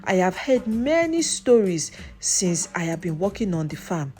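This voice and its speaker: A sly young villager from a folktale, played by a woman storyteller. He shares secrets in a coy, confiding way with very varied pitch. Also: sing-song, measured pace, dark tone, faint music behind. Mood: casual teasing. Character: aggrieved, scheming young village man telling secrets. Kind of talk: folktale character voice.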